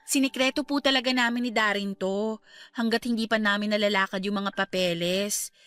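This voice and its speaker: A woman playing a caring, excitable young niece, polite and emphatic, in a radio drama. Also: mid-range voice, brisk, clear, resonant tone, no echo, studio quality, lightly acted. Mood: earnest. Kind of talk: Tagalog radio drama acting.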